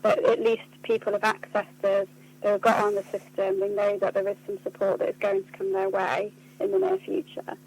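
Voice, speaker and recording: A woman's voice: mid-range voice, little pitch movement, moderate pace, darkish tone, poor audio. A woman being interviewed on the radio, explaining things in an articulate, earnest way. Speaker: charity communications officer being interviewed. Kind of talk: spoken radio interview answers.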